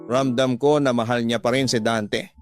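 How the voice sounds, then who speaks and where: A man with a Filipino accent narrates a radio drama in the first person. His medium-pitched voice carries the scripted story with feeling, over background music. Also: fairly even intonation, normal speed. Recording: music bed behind the voice.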